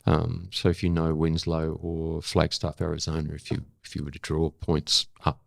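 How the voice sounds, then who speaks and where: An older Australian man with a gravelly voice, speaking as an interview guest and former correspondent. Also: a bass voice, completely flat delivery, normal speed, no echo, clean studio sound. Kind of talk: dramatic spoken-word recital of own prose, then reflective conversation.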